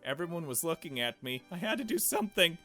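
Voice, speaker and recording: A man's voice: fairly high pitch, sing-song, quick pace, bright, forceful tone. A man reading a visual novel game aloud in a casual let's play style, dropping in his own asides. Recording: music playing behind the voice. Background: game music with strings.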